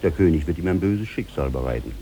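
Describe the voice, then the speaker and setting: Gloomy townsman, low voice, very lively pitch, old radio play warning tone. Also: fast talker, breathy edge, full character voice. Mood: pitying. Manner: warning, foreboding. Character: cautioning townsman at feast, pitying and gloomy. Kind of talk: 1950s German radio drama acting.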